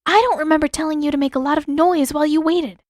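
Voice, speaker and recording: A woman narrator plays a teenage girl in a fantasy story, protective and quick to argue. She speaks up high in an insistent, blurting way, very close and dry. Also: steady tone, dry recording, natural voice. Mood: indignant. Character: urgent, defensive teenage girl, protective of her friend.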